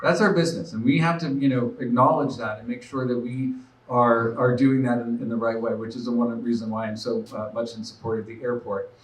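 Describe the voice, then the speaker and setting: A man's voice: medium pitch, fairly even intonation, a little fast. A man in his forties giving an earnest, off-the-cuff campaign speech at a fundraiser, with many fillers and restarts. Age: 40s. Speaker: political candidate. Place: room at a live event. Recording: roomy echo, speaker far from mic.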